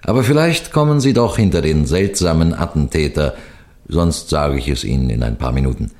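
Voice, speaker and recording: A man acting a shrewd middle-aged police detective in a radio mystery, with a deliberate, lecturing manner that builds suspense through pauses. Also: very deep voice, expressive, darkish tone. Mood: composed, teasing. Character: shrewd, unhurried senior police detective explaining a case. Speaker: detective narrator.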